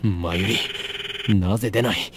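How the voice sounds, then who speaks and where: An actor playing a grandiose, paranoid young mad scientist in a visual novel game, with pompous, melodramatic delivery. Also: male voice, lowish voice, moderate pace, noisy background, natural voice. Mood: worried. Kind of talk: theatrical Japanese visual novel acting.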